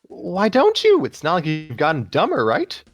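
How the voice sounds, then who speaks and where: A man performs a cheerful young woman from a read-aloud story. She is eager and breezy, with a hugely animated, swooping pitch. Faint music plays behind the dry recording. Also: normal speed, no echo. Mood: concerned, curious. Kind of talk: story character reading.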